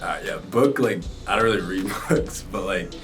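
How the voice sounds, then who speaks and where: A man's voice: low voice, moderate pace, fairly bright tone, faint music behind. A twenty-one-year-old man, a student, answering in an easygoing way with uhs and small laughs. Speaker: student interviewee. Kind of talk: casual street interview.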